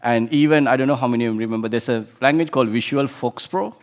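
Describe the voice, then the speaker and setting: A man's voice: medium pitch, quick pace, smooth. A man in his thirties from Sri Lanka, giving an informal conference talk with frequent restarts and fillers. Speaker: conference speaker.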